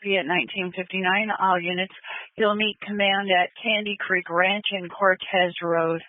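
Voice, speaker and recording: A woman's voice: medium pitch, fairly even intonation, moderate pace, no room sound. A female emergency dispatcher speaks over a thin, phone-like two-way radio channel. She is procedural, relaying and confirming details, and the sound is close and dry with no room around it. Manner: procedural, repeats details back. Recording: narrow, phone-like scanner radio audio.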